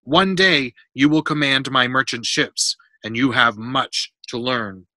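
A storybook merchant father, read aloud by a man, cautious and in charge. He explains things firmly in a bright, forceful voice with very little room sound. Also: medium pitch, a little slow, no room sound, rough recording. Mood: firm, instructive. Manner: firm, explanatory.

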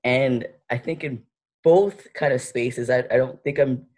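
A woman's voice: a bass voice, a little slow, a little mellow. A young woman talking on a video call from her living room, thoughtful and careful. She often restarts her sentences while she works out her point, and her voice is picked up by a laptop webcam. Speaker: panelist. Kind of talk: panel discussion over video call.

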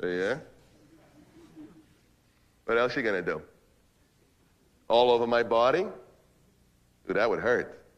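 A man's voice: medium pitch, takes it slowly, long pauses, muffled recording, close to an everyday voice. An actor playing a manipulative, foul-mouthed male office boss working the phone, badgering and repeating himself in a comic dramatic monologue. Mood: smooth, flirtatious. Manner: repetitive, badgering, swinging between ranting and smooth-talking.

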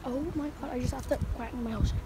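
A young girl chats to her phone camera at arm's length, high-pitched and eager, apologising as she goes. Wind and surf hiss loudly behind her on the phone mic, outdoors on a sunny beach. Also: very fast. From Ireland. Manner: chatty, apologetic, excitable. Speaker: vlogger. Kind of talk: casual vlog to camera.

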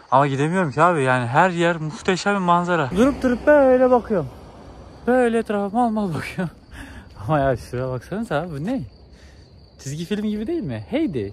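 A young man chatting casually and enthusiastically to his phone camera outdoors, in an informal, exclamatory way as a travel vlogger. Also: a little high. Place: outdoors in a mountain village and hillside. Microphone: phone at arm's length. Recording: outdoor phone audio with ambient noise.

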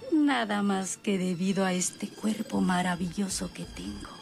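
Slow, creaky, scheming villainess. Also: female voice, medium pitch, darkish tone. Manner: slow, gloating, breathy asides. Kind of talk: radionovela acting, soliloquy.

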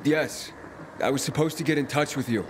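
An actor playing a guarded, determined young pilgrim in a video game, speaking earnestly and insistently. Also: male voice, a little high, fairly quick, noisy background, lightly acted. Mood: businesslike. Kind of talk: video game dialogue acting.

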